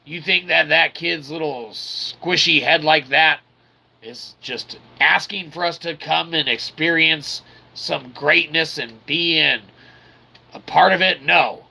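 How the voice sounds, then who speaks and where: A man with a gravelly, creaky voice gives casual video game commentary, mixing wordy mock-formal phrasing with slang and speaking as "we". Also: a little high, slow pace, sharp tone. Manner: refers to himself as "we". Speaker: gaming channel host. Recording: clearly noisy background.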